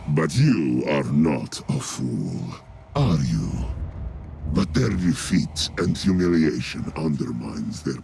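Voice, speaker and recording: An actor playing a sinister, condescending alien scientist with a deep, gravelly, drawn-out voice in a video game cutscene. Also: male voice, darkish tone, slight background noise, exaggerated voice. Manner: condescending, grandiose. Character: sinister male alien torturer-scientist, arrogant and cold.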